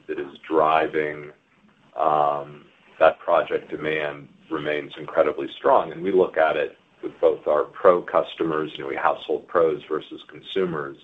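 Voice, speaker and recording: A man with a low voice speaks as a company executive on an earnings call. He is halting and deliberative, working through his points as he goes. The sound is narrow and muffled, like a phone line. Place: conference call.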